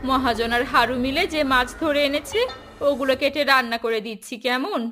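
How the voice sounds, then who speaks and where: A woman's voice: high-pitched, a little fast, bright, forceful tone. A woman voicing a dutiful, caring village wife and mother in a cartoon fairy tale. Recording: music bed under the voice.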